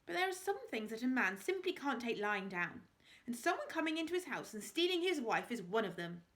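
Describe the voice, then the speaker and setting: A woman's voice: on the high side, very animated, brisk, a bit bright. A woman voicing a plump, flustered aristocratic father who stammers and tries to sound dignified. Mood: indignant.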